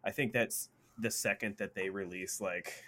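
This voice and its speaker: A man's voice: lowish voice, fairly even intonation, moderate pace, background noise. A man co-hosting a gaming news show, chatting informally and acting out mock quotes as he comments. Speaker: gaming news podcast co-host.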